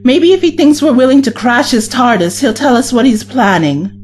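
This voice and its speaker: Earnest, urgent heroine, played by a woman: high, lively voice for sci-fi audio drama, emotional and principled. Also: moderate pace, faint music behind, lightly acted. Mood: earnest, determined. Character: earnest, principled former female companion of time traveler. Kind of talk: science-fiction audio drama acting.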